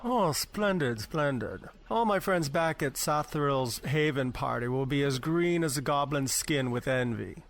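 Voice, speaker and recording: A man's voice: medium pitch, lively intonation, a little slow, few pauses. A man playing a giddy, naive, prissy tourist, effusive and fussy, in an amateur audio drama. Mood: giddy, delighted.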